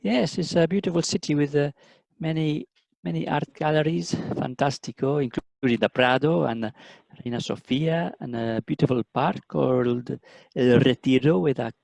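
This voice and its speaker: Man acting a gravelly, blunt Spanish designer. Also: fairly high pitch, normal speed, darkish tone, dry recording. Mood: proud. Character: blunt but polite young Spanish male set designer. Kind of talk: amateur radio play acting.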